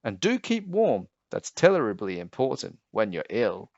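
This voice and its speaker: A man's voice: lowish voice, wildly swooping pitch, a little slow, darkish tone, no echo, highs cut off, heavily acted. A man voicing a bold, caring young princess doctor, earnest and emphatic, in a children's storybook read-aloud. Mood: caring, instructive.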